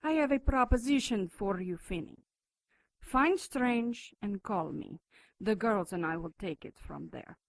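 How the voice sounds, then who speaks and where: Demon gang boss for radio play: menacing, commanding, mocking, medium register. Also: female voice, mid-range voice, animated, a little slow, gravelly, no room sound, rough recording. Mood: cold menace. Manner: imperious, taunting. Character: cold, menacing female demon gang leader. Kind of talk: audio drama acting.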